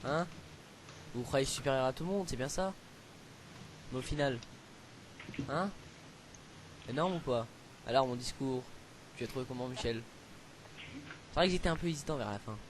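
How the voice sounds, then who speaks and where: A man's voice: mid-range voice, moderate pace, pauses often. A young man improvising a prank call, talking in a provocative, mocking, mock-lecturing way among friends. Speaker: prank caller. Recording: noisy amateur home recording with clicks.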